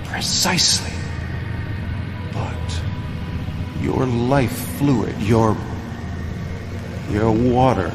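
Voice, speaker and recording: An actor playing a sinister, commanding space guild leader in a game, pompous, deliberate and menacing. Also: male voice, mid-range voice. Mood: gloating. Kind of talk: theatrical science fiction game drama. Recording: long hall reverb, music behind.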